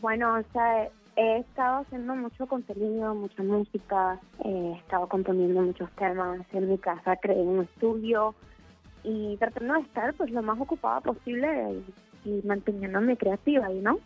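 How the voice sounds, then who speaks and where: A woman's voice: mid-range voice, fairly even intonation, normal speed, muffled recording, soft background music. A woman from Puerto Rico with a Puerto Rican accent, a singer chatting as a guest in a phone interview, talking with lots of fillers. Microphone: phone line.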